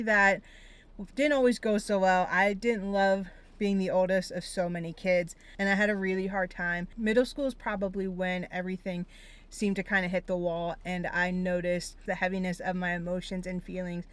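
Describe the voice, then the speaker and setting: A woman's voice: medium pitch, clear, resonant tone. A young American woman speaking earnestly and reflectively, sharing her personal story in a vlog-style monologue. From Pennsylvania, United States. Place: inside a parked car on a rainy day.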